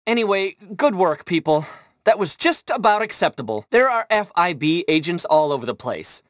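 An actor playing a pompous, paranoid and self-important tech billionaire with a grandiose way of talking in a video game. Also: male voice, sits high, sing-song, moderate pace, no room sound, clean studio sound. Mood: brisk, condescending.

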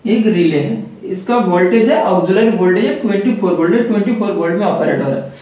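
Give me a man with a very high voice teaching electronics and repeating each point, heard from a distance in a roomy space. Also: a little mellow. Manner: explains step by step, repeating key points; addresses viewers as friends. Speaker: electronics trainer. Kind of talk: whiteboard circuit lesson.

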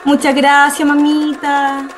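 A woman's voice: high voice, monotone, takes it slowly. A woman playing an affectionate, fussing Chilean mother in a radio drama. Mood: affectionate, concerned. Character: caring mother, seamstress fussing over her daughter. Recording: noisy, with machine clatter behind.

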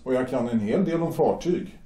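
A man playing a hesitant young ex-sailor in a radio play, speaking in a halting way. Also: mid-range voice, lively intonation, warm tone, close to an everyday voice. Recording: echoey room.

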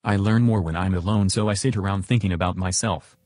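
A man's voice: deep voice, fairly even intonation, a little fast, darkish tone, no echo, clean studio sound, natural voice. A man playing an earnest, philosophical chatbot in an interview podcast, answering in a succinct, matter-of-fact way. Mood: earnest, measured.

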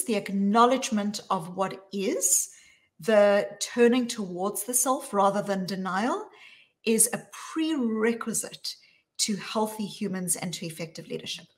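A woman in her forties with a South African accent, speaking as a guest on a video call in a small room, measured and emphatic, slowing down to stress key words. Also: medium pitch. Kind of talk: talk on workplace wellbeing. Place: small room with plain wall.

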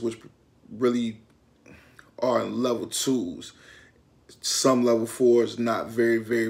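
Detroit man, thirties, gravelly and flat-voiced, laid-back street talk to camera. Casting a relaxed explainer voice. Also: mid-range voice. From Detroit, Michigan. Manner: relaxed, conversational, loose street slang. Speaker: YouTube host. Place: small room indoors.

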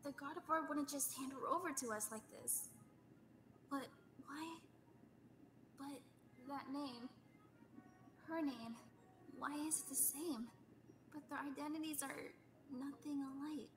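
Comic dub: weary, guarded female rabbit creature, woman performer, high voice, music behind. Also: a little slow, pauses often. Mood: troubled, musing.

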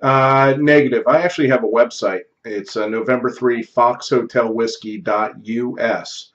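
A man's voice: medium pitch, steady tone, normal speed, fairly bright tone, highs cut off. A middle-aged American man chatting casually as an amateur radio operator, using call signs and phonetic spelling. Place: home office radio room. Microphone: close studio microphone on boom arm.

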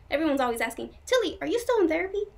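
A woman acting a whimsical, dreamy, high-voiced woman. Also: very animated, fast talker, vocal fry, darkish tone, heavily acted. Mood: giddy, confiding. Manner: quirky, chatty, confiding, effusive. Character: whimsical, dreamy, melancholy woman, swinging between joy and sadness. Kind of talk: comedic stage monologue.

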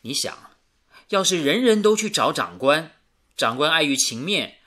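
An actor playing a principled, sensible young radio engineer in a radio drama, explaining things earnestly. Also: male voice, on the high side, big pitch swings, measured pace, pushed and bright, full character voice.

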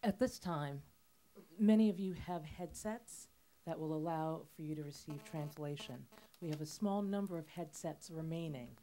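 A woman speaks into a handheld microphone in an auditorium, addressing a room full of students. She is friendly and organized, giving clear instructions to the crowd. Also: sits low, normal speed, warm tone, low-quality recording. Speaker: event host addressing student audience. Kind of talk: hosting a school event. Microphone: handheld mic.

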